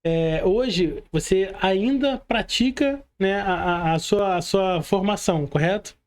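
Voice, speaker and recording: A man's voice: high voice, slow. A young Brazilian man hosting a podcast casually, informal and slangy, often checking that his guest agrees. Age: young adult. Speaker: podcast host.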